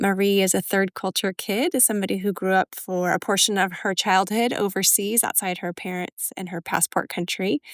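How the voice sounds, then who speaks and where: A woman's voice: medium pitch, dry recording, clean studio sound. A woman co-hosting a podcast, presenting and interviewing in a conversational way. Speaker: podcast co-host. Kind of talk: scripted intro and conversational interviewing.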